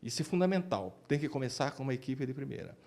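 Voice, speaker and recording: A man's voice: mid-range voice, expressive, fast talker, creaky, a little mellow. A man with a Brazilian Portuguese accent answering interview questions as a doctor, often repeating words as he searches for phrasing. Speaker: oncologist and researcher being interviewed. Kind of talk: interview answers, explaining his work.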